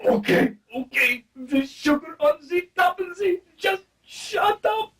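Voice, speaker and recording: A scheming prison camp official in a comic radio play. He hisses in a hushed half-whisper, with exasperated swoops in pitch. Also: animated, slow pace, heavily acted.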